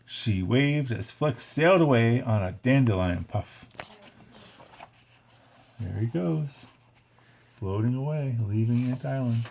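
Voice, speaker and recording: A man's voice: medium pitch, animated, takes it slowly, gravelly, long pauses, darkish tone, a little hiss or hum. A man reading a children's story aloud expressively, with casual, chatty asides. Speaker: storybook reader. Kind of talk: read-along story narration with casual asides.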